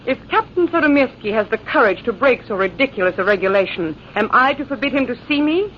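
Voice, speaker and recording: Actress as high-voiced, haughty young lady. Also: animated, fast, close to an everyday voice. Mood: indignant. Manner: haughty, formal, melodramatic. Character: proud, beautiful young woman, indignant at a jealous suitor. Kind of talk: 1940s radio drama acting. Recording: hissy, muffled old radio recording.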